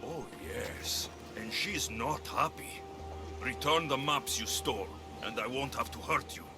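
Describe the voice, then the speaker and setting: A man's voice: mid-range voice, a little slow, music in the background, natural voice. A man playing a tough, sardonic mercenary adventurer in a video game, with blunt delivery. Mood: menacing. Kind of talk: video game cutscene acting.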